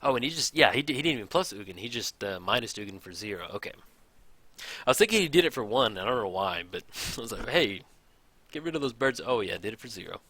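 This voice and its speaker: A man casually commenting on a card game match, thinking aloud, his voice a little raspy from hours of recording. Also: medium pitch, sing-song, fast, no room sound. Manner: chatty, thinks aloud, counts out loud. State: slightly raspy from long recording. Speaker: gameplay commentator.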